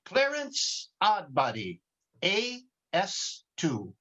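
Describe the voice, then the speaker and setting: A man playing a gentle, earnest, bumbling guardian angel with a hesitant, genteel manner in 1940s radio drama style, recorded over a video call. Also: sits high, huge pitch swings, drawn-out words, heavily acted. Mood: proud, kindly. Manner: hesitant, old-fashioned, genteel. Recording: dull, muffled video-call audio.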